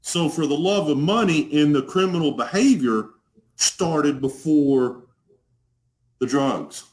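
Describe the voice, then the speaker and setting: A man's voice: fairly high pitch, animated, slow. A man in his forties from Dallas with a strong Texas accent, telling his recovery story as a guest on a video call, earnest and plain-spoken, often repeating a word as he starts. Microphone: video call webcam.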